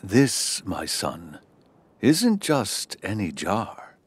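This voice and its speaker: An actor playing a kindly, wise old man in a children's folktale, speaking in a measured, fatherly way. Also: fairly low pitch, huge pitch swings, slightly rough, full character voice. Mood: wise, reassuring. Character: kindly hunched old man, wise magical gift-giver. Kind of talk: children's audio folktale drama.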